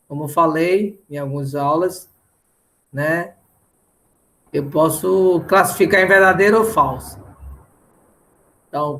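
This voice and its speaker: A man's voice: sits high, a bit bright. A middle-aged Brazilian man lecturing didactically in an online lesson, often checking in with short tag questions. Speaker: mathematics teacher.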